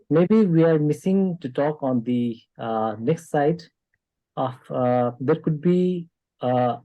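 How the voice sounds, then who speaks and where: Halting Nepali-accented man, dull audio. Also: fairly high pitch, mellow, no echo. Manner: halting, hesitant, pauses often. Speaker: discussion participant. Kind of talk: unscripted discussion remarks.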